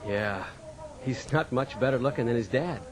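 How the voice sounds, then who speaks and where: A man's voice: mid-range voice, normal speed, noisy background, lightly acted. An actor playing an easygoing young American farmer and father in a radio drama, talking in a folksy, conversational way. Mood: proud, joking. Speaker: narrator and lead in radio dramatization. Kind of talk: scripted first-person radio drama narration and dialogue.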